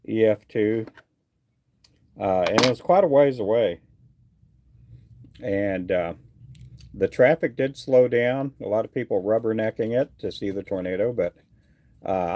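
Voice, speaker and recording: A man's voice: lowish voice, mellow. A middle-aged man from Texas chatting casually on a fishing livestream, answering viewer comments in an easygoing, rambling way with many fillers. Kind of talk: casual live chat reading viewer comments.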